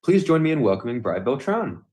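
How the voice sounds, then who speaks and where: A man's voice: medium pitch, big pitch swings, fairly quick, a little mellow. A man hosting an event, reading a formal introduction of the next speaker from a prepared text. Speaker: event host.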